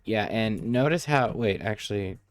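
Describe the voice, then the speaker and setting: A man's voice: fairly low pitch, normal speed, no echo, faint music behind. A man giving casual, offhand live game commentary, swearing mildly as he plays. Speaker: game streamer.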